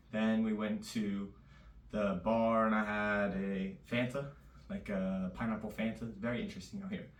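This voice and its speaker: A man chatting casually in a loose, rambling vlog, his voice a little raspy. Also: fairly low pitch, fairly bright tone, slight background noise. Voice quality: slightly raspy. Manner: loose and rambling, full of fillers. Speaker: vlogger. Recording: echoey room, distant mic.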